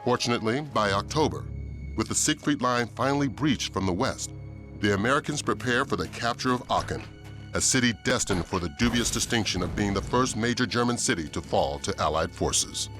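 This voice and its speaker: A man delivering solemn, measured war history narration in the manner of a documentary, for a video game. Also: lowish voice, expressive, close to an everyday voice. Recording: music under narration.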